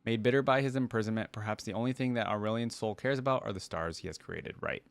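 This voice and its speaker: A man's voice: lowish voice, fast, gravelly, dry recording. A man hosting a gaming reaction video, talking informally and thinking aloud as he comments and reads along.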